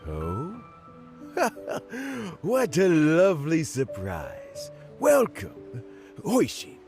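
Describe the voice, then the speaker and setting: Game role: a courtly old statesman, slow and gravelly, gracious but weary. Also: fairly high pitch, huge pitch swings, a little mellow, heavily acted. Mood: pleased, welcoming. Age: older. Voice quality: gravelly, aged. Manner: courtly, measured, formal. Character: genial elderly male official, gracious, weary, dignified. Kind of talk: video game voice acting. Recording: background music under the voice.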